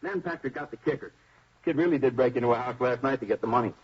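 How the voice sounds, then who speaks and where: An actor playing a chatty police sergeant in a 1950s radio drama, with an offhand, naturalistic way of talking. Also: male voice, medium pitch, fast, slightly rough, lightly acted. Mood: wry, anecdotal. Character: chatty male police sergeant swapping station-house stories. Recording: dull 1950s radio recording.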